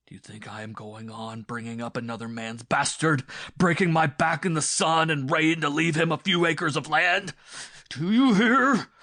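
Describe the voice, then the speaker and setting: A man voicing a jealous, furious peasant husband in a storybook reading, who swings between loud shouts and a hoarse, hissing whisper. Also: mid-range voice, dry recording, clean studio sound, voice pushed up. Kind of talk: audiobook dialogue reading.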